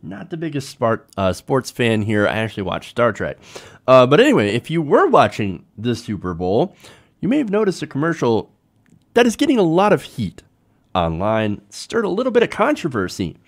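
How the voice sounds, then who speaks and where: A man's voice: medium pitch, huge pitch swings, normal speed. A man hosting a political commentary show, talking informally to viewers in a conversational way with rhetorical tag questions.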